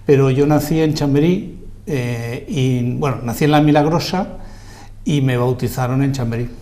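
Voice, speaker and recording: Mellow-voiced man with a Madrid accent. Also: medium pitch, moderate pace. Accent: Madrid Spanish. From Spain, Madrid. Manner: self-deprecating storyteller, modest. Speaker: guest, lawyer and journalist. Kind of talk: relaxed interview conversation. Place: TV studio.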